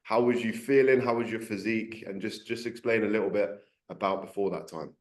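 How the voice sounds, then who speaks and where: A man's voice: lowish voice, steady tone, fairly quick. A man hosting a casual interview, upbeat and loose, with lots of fillers. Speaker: coaching program host interviewer. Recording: roomy echo.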